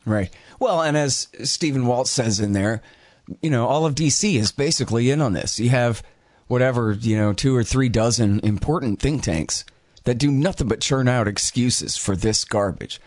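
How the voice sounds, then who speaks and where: A man hosting a talk show, chatting loosely with fillers and quick asides. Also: mid-range voice, dry recording. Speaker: podcast host. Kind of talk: casual interview talk.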